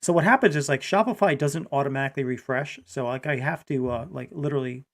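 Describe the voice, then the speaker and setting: A man's voice: mid-range voice, fast talker, fairly bright tone. A man from Rhode Island hosting a casual livestream, talking in a loose, rambling way. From Rhode Island, United States. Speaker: live show host.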